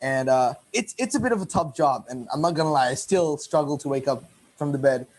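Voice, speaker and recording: A man's voice: on the high side, animated, fairly quick, darkish tone. A seventeen-year-old boy interviewing a guest casually, rambling and correcting himself as he goes. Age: teens.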